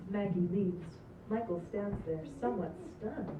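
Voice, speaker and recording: A woman's voice: medium pitch, normal speed. A woman reading stage directions as scripted narration at a table read. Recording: echoey, distant, dull, slightly noisy room.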